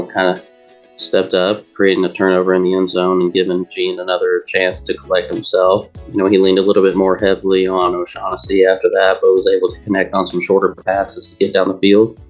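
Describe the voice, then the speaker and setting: A man giving casual game analysis as a panelist, informal and somewhat halting in his phrasing. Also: sits low, monotone, faint music behind. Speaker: sports show panelist.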